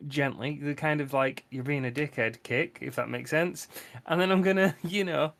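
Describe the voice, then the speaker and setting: A man's voice: on the high side, normal speed, gravelly, a bit bright, no room sound. A man talking casually as a player, describing what his character does in a roleplaying game.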